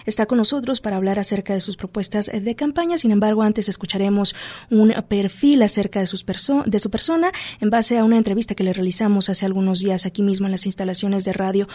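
A woman's voice: mid-range voice, fast talker, darkish tone. A woman with a Mexican accent presenting live news as a radio host in a studio.

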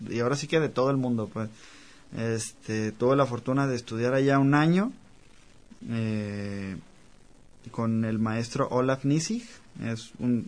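A man, a musician guest talking casually in an interview, rambling with many fillers. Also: mid-range voice. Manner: chatty, rambling, lots of fillers. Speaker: guest, cellist.

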